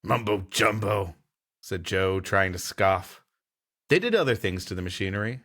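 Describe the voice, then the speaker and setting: A man's voice: low-pitched, sing-song, normal speed, fairly bright tone. A man who hosts and reads a pulp story aloud in a dramatic narrating style. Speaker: host and story reader.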